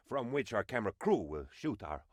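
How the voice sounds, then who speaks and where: An eager, bootlicking presidential aide from a comedy video game. His delivery is theatrical and very animated, swinging up and down as he flatters his leader. The recording is dry and close. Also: lowish voice, brisk, no room sound. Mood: deferential. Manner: obsequious, excitable, melodramatic. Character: eager fawning male presidential aide in a Caribbean republic. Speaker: game advisor character.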